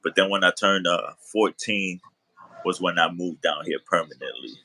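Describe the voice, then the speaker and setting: A man from the Bronx hosting a casual video chat from his living room sofa. He talks in a relaxed way, repeats and restarts his phrases, and laughs easily. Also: sits low, moderate pace, rough recording. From the Bronx, New York. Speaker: host.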